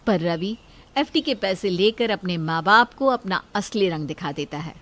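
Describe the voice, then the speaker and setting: A woman's voice: medium pitch, lively intonation, normal speed, a bit bright. A woman narrating a scripted moral story as a storyteller.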